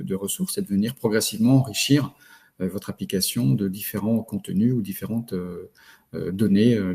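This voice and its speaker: A man presenting a webinar in a didactic, halting manner, laying out points one by one. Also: on the low side, gravelly, warm tone. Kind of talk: explanatory webinar talk.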